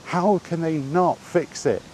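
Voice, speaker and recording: A man's voice: a little high, slow pace, darkish tone. A British man talking off the cuff in an exasperated, sweary rant, like a gaming vlogger chatting while out walking. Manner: exasperated, sweary, repetitive rhetorical questions. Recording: outdoor recording with clear background noise.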